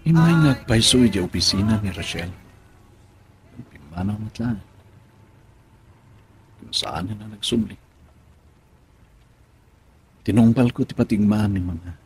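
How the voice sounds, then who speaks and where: A man's voice: on the low side, slight background noise, lightly acted. A man acting in a Filipino radio drama as a troubled young married man, with an Ilocano accent and a hesitant, musing way of talking. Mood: brooding.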